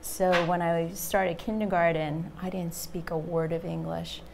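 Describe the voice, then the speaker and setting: A woman in her forties, a Korean native speaker, talks in a low, gravelly voice with little rise and fall. She recounts her past in a measured, reflective way on a studio panel. A faint room hum sits behind her. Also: flat delivery, a little mellow. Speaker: panel guest, journalist. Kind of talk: personal story in a panel talk. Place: studio stage with a small audience.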